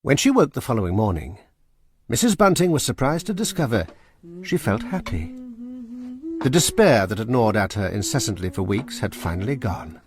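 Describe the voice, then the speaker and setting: A man narrating a period drama in a measured, storytelling way. His voice sits fairly low but moves expressively through each sentence. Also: very animated. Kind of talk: scripted narration.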